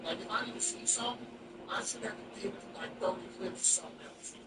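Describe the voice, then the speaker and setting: High-voiced man ranting like a mock villain. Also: quick pace, roomy sound. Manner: mock-villain rants, deadpan silly. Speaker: game player. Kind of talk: joking voice chat while playing. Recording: distant mic with background noise.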